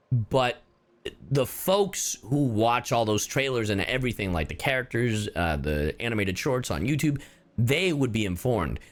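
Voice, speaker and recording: A man's voice: medium pitch, a bit bright. A man doing casual livestream commentary on a game, chatting to viewers and swearing freely. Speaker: livestreamer, gamer.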